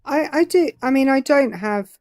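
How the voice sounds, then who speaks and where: A woman's voice: sits high, expressive, moderate pace, a little mellow, no room sound, clean studio sound. A woman with a British accent co-hosting a live stream, joking and self-deprecating. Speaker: co-host. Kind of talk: casual live stream chat.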